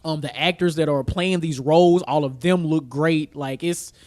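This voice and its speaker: Higher-voiced American man, emphatic and slangy. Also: moderate pace, a little mellow. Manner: slangy, emphatic, repeats phrases. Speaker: podcast co-host. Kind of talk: casual chat about film trailers and news.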